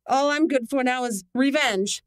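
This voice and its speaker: Woman playing high-voiced, shaken young daughter. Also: moderate pace, smooth, dry recording, studio quality, natural voice. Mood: bitter grief. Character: shaken, bitter, grieving young woman, murdered man's daughter. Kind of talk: old-time radio drama acting.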